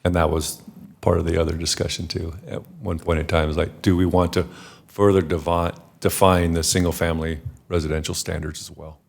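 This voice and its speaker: Staff guy answering commission questions, super deep and gravelly, flat delivery, matter of fact. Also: a little fast, few pauses. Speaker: city staff member advising the commission. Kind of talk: public meeting discussion. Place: city council chambers.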